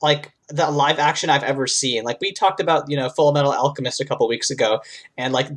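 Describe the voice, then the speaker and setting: A woman's voice: a bass voice, fast talker. A woman co-hosting a podcast in casual conversation, acting out reactions as she quotes them. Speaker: podcast co-host. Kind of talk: casual pop-culture chat.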